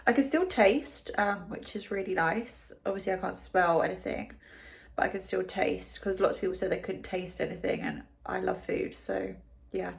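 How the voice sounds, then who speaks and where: A young woman from the UK talking casually in a video diary, her nose blocked and congested, pausing for breath as she chats with many ums and likes. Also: mid-range voice, steady tone, vocal fry, roomy sound. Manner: chatty, lots of ums and likes. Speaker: vlogger.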